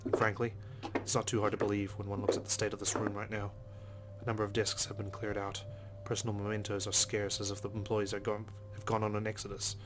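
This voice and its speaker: A low-voiced man reads visual novel narration aloud for a let's play. He moves along quickly with little rise or fall in his tone, while game music plays behind him. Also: monotone, background noise.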